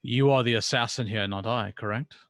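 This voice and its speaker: A stern male zealot of a secretive order played by a male game master speaks formally and solemnly in a tabletop game. His mid-pitched voice gives commands, in a dry recording. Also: a little fast, a bit bright, no echo, natural voice. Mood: stern, commanding.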